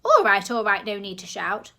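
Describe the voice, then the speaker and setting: A woman voicing a bossy, half-deaf old grandmother who barks and shouts her scolding lines. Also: mid-range voice, animated, normal speed. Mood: barking.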